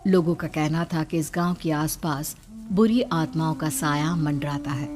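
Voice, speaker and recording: A woman's voice: low voice, moderate pace, darkish tone, faint music behind. A woman narrating a scripted horror story in a dramatic storytelling style.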